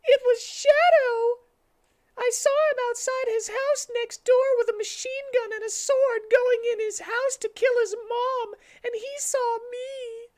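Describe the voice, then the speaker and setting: A man acts out a frantic cartoon hedgehog girl in a piercing, squeaky falsetto. The pitch sits extremely high, and every phrase comes out whiny and melodramatic. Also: fairly even intonation, measured pace. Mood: tearful, distressed.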